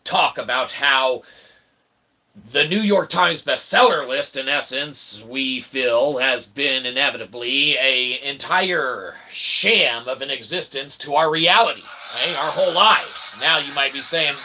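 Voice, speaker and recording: Wordy, very bright-voiced rambling man. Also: mid-range voice, measured pace, low-quality recording. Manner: pseudo-intellectual, wordy and convoluted phrasing, tags sentences with "okay" and "eh". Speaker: vlogger, self-published author. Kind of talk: rambling comedic monologue.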